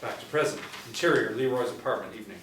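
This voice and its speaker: A man's voice: medium pitch, fast. A middle-aged man reading scripted stage directions as the narrator. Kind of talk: scripted narration at a table read. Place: small indoor room, seated reading. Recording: echoey room, distant mic, faint noise.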